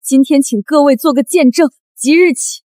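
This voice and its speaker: An actress playing a wronged eighteen-year-old daughter, bitter and proud, in a melodramatic short drama. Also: female voice, high-pitched, normal speed, darkish tone, no echo, studio quality, lightly acted. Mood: cold, defiant. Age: young adult.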